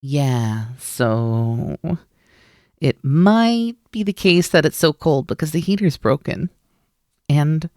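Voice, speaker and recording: Unhurried, teasing snake-demon roommate, acted out by an asmr performer, playful and bossy, really dry close sound. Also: mid-range voice, darkish tone, no echo. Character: mischievous, teasing naga snake-demon roommate, coaxing and dominant. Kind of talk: ASMR roleplay.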